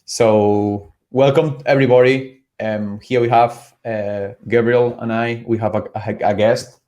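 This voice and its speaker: A man's voice: medium pitch, steady tone, a little slow, a little mellow, muffled recording. Casual man host, filler-heavy questions. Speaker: podcast host. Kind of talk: casual interview chat.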